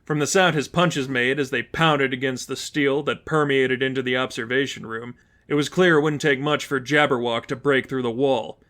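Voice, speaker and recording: A man's voice: mid-range voice, steady tone, moderate pace, a bit bright. A man reading as narrator, delivering scripted fiction in a storytelling style.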